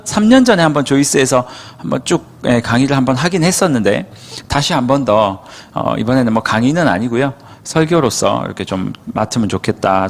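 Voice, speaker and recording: A man from Seoul, a youth pastor, preaching a sermon in a relaxed, conversational way and often checking that listeners agree. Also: mid-range voice. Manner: conversational, asks the room for agreement. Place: church hall. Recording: long hall reverb.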